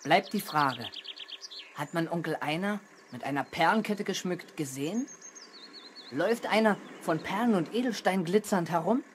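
A young man playing an earnest, eager boy detective with a deliberate, self-important manner in a children's radio play. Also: sits high, lively intonation. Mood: pondering, self-important. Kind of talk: 1970s German children's radio play acting. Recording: noisy 1970s radio play recording.

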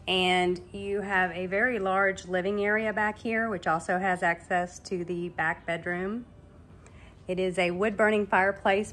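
A woman's voice: medium pitch, slight background noise. A woman working as a real estate agent, narrating an informal walk-through of a property. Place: walking through a house and its yard.

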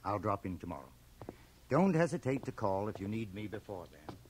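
Low-voiced, authoritative town doctor. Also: male voice, very animated, fairly quick, darkish tone. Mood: dismissive, assured. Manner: authoritative, matter-of-fact. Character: brisk, authoritative small-town male doctor. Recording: cassette-sourced radio drama, faint hiss.

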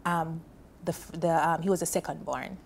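A woman's voice: low-pitched, moderate pace, vocal fry, slight background noise. A woman in her thirties with a Jamaican accent, a guest on a TV panel, telling a personal story earnestly and with feeling, on a lapel mic in a studio. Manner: earnest, emotional, animated with hand gestures. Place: indoor TV studio set on a sofa.

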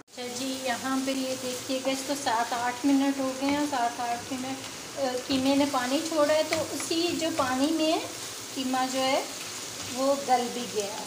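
A woman's voice: sits high, steady tone, unhurried, noisy background. A woman hosting a home cooking video, chatty and explaining each step as she cooks at the stove. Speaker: home cooking channel host. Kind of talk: casual cooking demonstration. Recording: echoey kitchen with loud sizzling.

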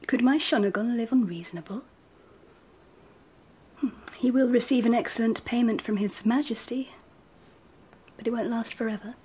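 A gracious Empress in a radio play. Her voice is fairly high and very breathy, often sinking toward a whisper. She is measured and gently probing, teasing with a wistful edge. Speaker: actor. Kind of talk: radio drama acting.

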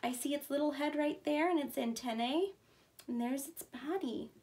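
A woman in her thirties speaks in a fairly high voice with a noticeable creak. She reads aloud like a preschool teacher, keeping her phrases simple and stressing key words for small children. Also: moderate pace, darkish tone. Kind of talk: read-aloud for young children.

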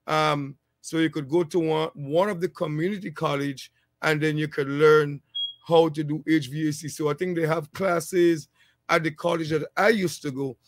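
Island-raised man in his thirties, vlog host, easygoing and rambling, fairly high voice, dry close headset sound. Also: normal speed, dry recording. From a small island. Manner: relaxed, rambling, many fillers. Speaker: channel host, HVAC technician. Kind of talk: casual advice vlog.

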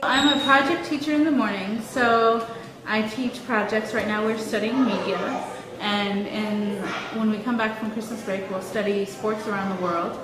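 A woman's voice: medium pitch, steady tone, normal speed, roomy sound, big-room sound, over a noisy crowd, rough recording. A woman teacher explaining what she teaches. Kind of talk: interview answers.

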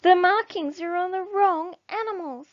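Woman as an indignant, high-pitched jungle animal. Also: moderate pace, no room sound, muffled recording. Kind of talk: read-aloud children's storybook.

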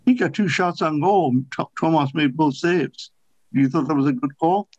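A man's voice: a little high, normal speed, darkish tone, no room sound. A man working as a sports reporter, asking long, rambling questions at a press conference. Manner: rambling, piles up clauses in his questions. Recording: dull dry call audio.

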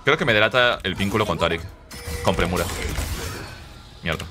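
Young Lima-accented male streamer, low-pitched, animated and swear-happy, music in the background. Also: moderate pace. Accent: Peruvian Spanish from Lima. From Lima, Peru. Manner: laughs often, swears, chatty and excitable. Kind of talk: casual gameplay commentary. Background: game music and sounds.

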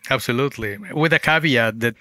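A man's voice: mid-range voice, a little fast, sharp tone, no echo. A man from Mexico, a native Spanish speaker with a Mexican accent, telling his story conversationally as an interview guest. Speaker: guest author.

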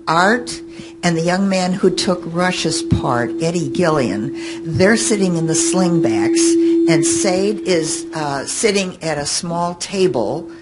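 An older woman recalling things informally on a panel, halting as she searches for her words. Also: low voice. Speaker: panelist, fan and researcher of an old radio show. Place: indoor hotel conference room. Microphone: table microphone on stand. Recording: noisy hotel meeting room.

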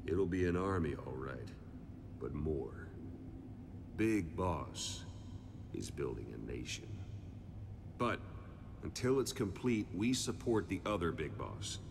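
Low, slow, calculating soldier strategist. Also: male voice, very animated, exaggerated voice. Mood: grave, calculating. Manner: measured, grave, deliberate pauses. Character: calculating older male soldier, cryptic strategist, calmly resolute. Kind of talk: dramatic game cutscene dialogue. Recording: long reverb, clear background noise.

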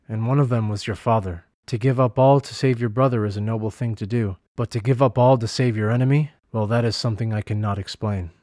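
A man playing a remorseful former soldier who speaks gravely and slowly. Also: medium pitch, darkish tone, no echo, clean studio sound, lightly acted. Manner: grave and slow.

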